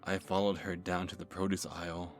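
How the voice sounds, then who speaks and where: Man performing an obsessive male stalker, low-pitched, nervous halting delivery, creepy infatuation, ASMR horror storytelling, background music. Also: flat delivery. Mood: infatuated, dreamy. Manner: halting, stammering, breathy sighs and self-corrections. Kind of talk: first-person horror story in ASMR manner.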